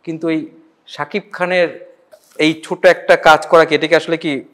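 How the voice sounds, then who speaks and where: A man with a Bangladeshi accent and a fairly high voice hosts an interview. He speaks conversationally and builds long, meandering questions. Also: flat delivery, normal speed, fairly bright tone. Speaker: interviewer, show host.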